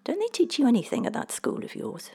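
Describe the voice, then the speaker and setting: A woman voicing a mysterious little man, teasing, brisk and breezily insistent, for an audiobook story. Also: high-pitched, huge pitch swings, darkish tone, voice pushed up. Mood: brisk, breezy. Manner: teasing, brisk, matter-of-fact.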